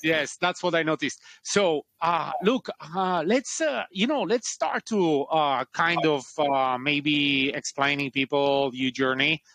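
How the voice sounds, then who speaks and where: A man's voice: on the high side, slow pace, hardly any pauses, sharp tone, no room sound. A man hosting a podcast interview, talking hesitantly with many fillers. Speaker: podcast host.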